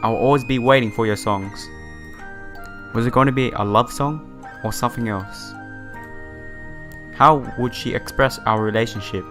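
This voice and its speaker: A man reads visual novel text aloud in a casual let's play style, slipping in his own remarks. His voice is a little broken from a sore throat and sits at an average pitch. Faint game music plays behind him. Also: normal speed, pauses often, a bit bright. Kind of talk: casual playthrough, reading game text with side comments.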